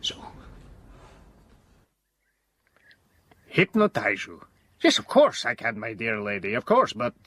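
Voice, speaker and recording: Earnest professor, very animated. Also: male voice, on the low side, normal speed, exaggerated voice. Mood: grave. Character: earnest, fatherly professor and vampire hunter. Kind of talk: gothic audio drama acting.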